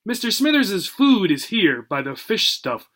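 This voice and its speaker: A man's voice: sits high, big pitch swings, unhurried, fairly bright tone, pitched above the performer's own voice, heavily acted. A man reading a storybook part as a casual, matter-of-fact teenage boy explaining things. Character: matter-of-fact teenage boy giving pet-care instructions.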